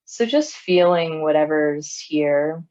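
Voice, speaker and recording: A woman leading a guided body scan meditation in a calm, soothing manner, leaving long pauses between phrases. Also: low voice, dull audio. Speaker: meditation teacher.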